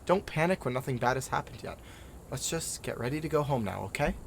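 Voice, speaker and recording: A man acting in an audio drama as a weary time traveller who tries to keep calm, speaking in a subdued, firm and earnest way. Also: mid-range voice, brisk, gravelly, slight background noise. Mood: reassuring.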